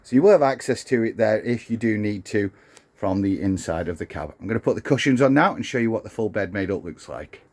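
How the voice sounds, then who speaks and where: A man's voice: on the low side, animated, a little fast, darkish tone. A man presenting an instructional video, explaining things step by step in an unscripted, hands-on demonstration.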